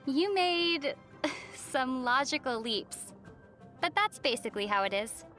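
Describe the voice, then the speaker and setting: A woman voicing a composed young female traveler in a video game, gentle, measured and thoughtful, with a wry edge. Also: high-pitched, expressive, faint music behind. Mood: thoughtful, knowing. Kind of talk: video game character voice lines.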